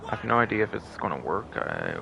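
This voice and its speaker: A man's voice: on the low side, wildly swooping pitch, fast talker, vocal fry. A man live-streaming a game, grumbling and swearing casually, often repeating himself as he mutters along. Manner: foul-mouthed, grumbling. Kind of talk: casual live gameplay commentary. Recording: loud noise behind the voice.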